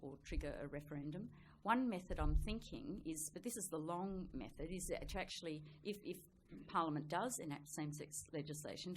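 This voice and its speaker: A woman's voice: lowish voice, dark tone. A woman speaking on a public debate panel, arguing in a conversational, rephrasing way into an event microphone. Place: public meeting room. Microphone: event microphone, sometimes off-mic. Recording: noisy live room, uneven microphone level.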